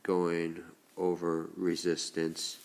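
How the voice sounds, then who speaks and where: Male teacher, very low voice, congested, stuffed-up nose, slow step-by-step explaining. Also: monotone, a little mellow. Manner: slow, deliberate explaining while writing. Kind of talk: recorded classroom lesson.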